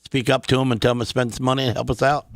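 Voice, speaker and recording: A man's voice: mid-range voice, little pitch movement, very fast, vocal fry, no echo. A man bantering casually as a radio show sidekick, with a folksy, blunt way of talking. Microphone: close studio microphone.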